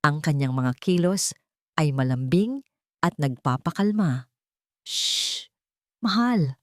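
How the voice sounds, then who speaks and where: A woman narrates a dramatic audio story in a low-pitched voice. Her delivery is deliberate and emotive, and the sound is dry, with very little room around it. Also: expressive, a little mellow, dry recording.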